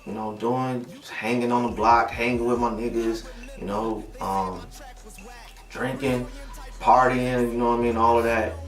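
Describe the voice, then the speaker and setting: A man's voice: mid-range voice, little pitch movement, measured pace. A young man talking casually in an interview, slangy and laid-back, often asking "you know what I mean.". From Detroit, USA. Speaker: interviewed rapper. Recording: roomy, distant mic, music behind.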